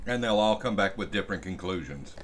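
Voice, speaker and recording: A man with a fairly low voice offers short, casual comments as a livestream co-host. There is some noise behind him. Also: expressive, a little fast. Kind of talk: casual commentary in brief asides.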